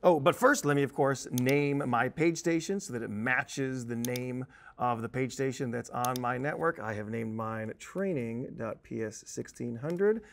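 A man's voice: mid-range voice, measured pace, vocal fry, a bit bright. A man in his thirties giving an informal, conversational video tutorial as a training instructor, explaining things on a lapel mic in a studio. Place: indoor presentation studio at a desk.

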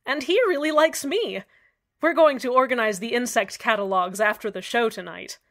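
A woman playing a cheerful radio host with an eerily calm, sweet manner. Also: on the high side, moderate pace, close to an everyday voice. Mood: smitten. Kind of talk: scripted radio drama narration.

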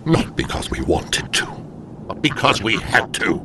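An actor playing a wrathful, menacing demon overlord in an audio drama, with slow, theatrical, accusing delivery. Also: male voice, lowish voice, huge pitch swings, noisy background. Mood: bitter, accusing.

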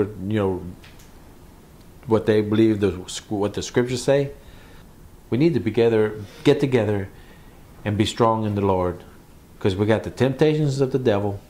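A man's voice: on the low side, dark tone. A man, a pastor, teaching from the Bible in a conversational sermon, repeating phrases for emphasis.

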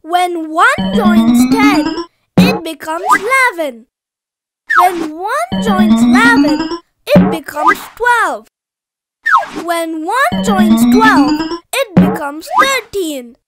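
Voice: extremely high pitch, very animated, drawn-out words, full character voice. An actor voicing a helpful, confident little girl in a children's educational cartoon, speaking simply and matter-of-factly. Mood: instructive. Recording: loud children's music behind.

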